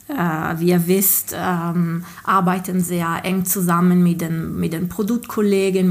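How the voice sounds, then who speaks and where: A woman's voice: on the low side, vocal fry. A woman from Sardinia, a native Italian speaker with an Italian accent, chatting as a podcast guest and often searching for her words. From Sardinia, Italy. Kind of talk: casual interview chat.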